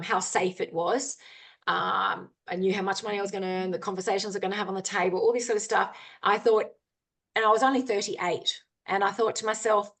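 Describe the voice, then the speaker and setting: A woman's voice: medium pitch, fairly bright tone. A woman adventurer giving an earnest, candid talk over a video call, peppered with ums and you-knows. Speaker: guest speaker, adventurer. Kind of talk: informal talk with screen share, then Q&A.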